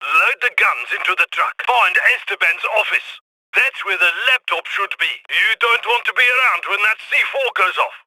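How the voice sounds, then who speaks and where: An actor playing a businesslike heist crew handler, giving brisk orders over the radio in a video game. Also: male voice, high-pitched, expressive, bright, forceful tone, heavily acted, rough recording. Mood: calm orders.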